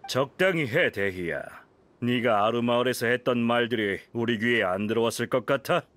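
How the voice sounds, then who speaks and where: An actor playing a smug, scheming desert thug in a video game, sneering and gloating. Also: male voice, medium pitch, moderate pace, fairly bright tone, close to an everyday voice. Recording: music behind the voice.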